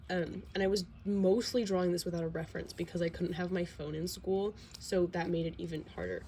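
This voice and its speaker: A teenage girl with a slight cold and a slightly low voice, rambling casually with plenty of fillers and self-deprecating asides. She sniffles now and then, and a faint hum of noise sits under her voice. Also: darkish tone. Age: teens. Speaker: vlogger. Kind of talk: casual sketchbook tour.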